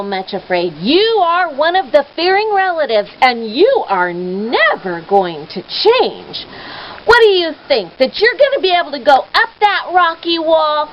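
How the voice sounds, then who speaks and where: Storybook villain: sneering male bully cousin, played by a woman, high-pitched, wildly swinging delivery, mocking. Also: very animated, measured pace. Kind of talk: children's storybook character voice. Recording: dull noisy lo-fi home recording.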